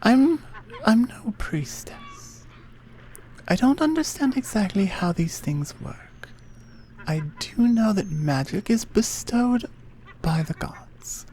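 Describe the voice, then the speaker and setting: A woman playing a regal, patient Amazonian queen, proud and wary of humans, in an intimate ASMR roleplay with measured, breathy half-whispers. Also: on the high side, expressive, mellow, a little hiss or hum. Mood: gentle, explanatory.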